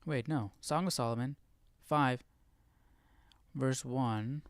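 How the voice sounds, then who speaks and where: A man's voice: medium pitch, takes it slowly, dry recording. A man hosting a casual live study session, thinking aloud in a tentative, questioning way. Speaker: livestream Bible study host.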